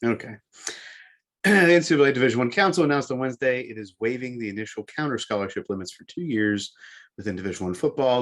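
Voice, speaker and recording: A man co-hosting a sports podcast, going through sports news and talking it over. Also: mid-range voice. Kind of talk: sports news read and discussion.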